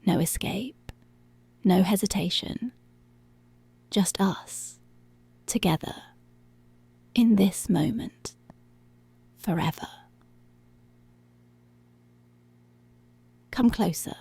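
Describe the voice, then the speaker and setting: A woman playing a commanding, seductive policewoman in an intimate ASMR roleplay, speaking slowly and deliberately in a breathy voice that often drops to a whisper. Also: mid-range voice, dark tone, dry recording, full character voice. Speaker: ASMR voice actor.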